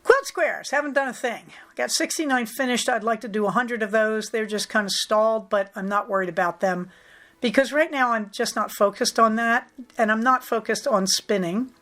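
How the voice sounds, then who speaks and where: A woman's voice: a little high, normal speed, a bit bright. A middle-aged woman hosting a knitting podcast, chatting through her projects in a wry, matter-of-fact way while she recovers from a cold. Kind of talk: casual chatty project update.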